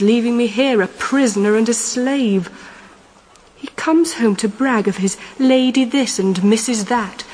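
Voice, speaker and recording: A woman's voice: medium pitch, a little mellow, natural voice, low-quality recording. An actress playing a spoilt, petulant young married Victorian lady in a radio drama, haughty, dramatic and complaining. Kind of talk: period radio drama acting.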